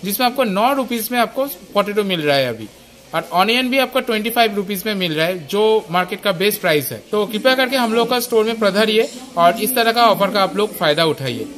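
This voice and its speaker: A man in his thirties, a store manager, pitching the day's offers in an on-camera interview inside a large shop, eager and rambling, into a handheld mic. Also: expressive, fairly quick, fairly bright tone. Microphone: handheld reporter mic.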